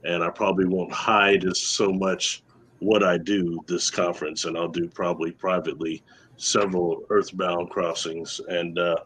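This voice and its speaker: A man's voice: low-pitched, little pitch movement, moderate pace, a bit bright, husky, low-quality recording. A man speaking as a guest on an online panel, friendly and easygoing as he introduces his work. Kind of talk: casual panel chat.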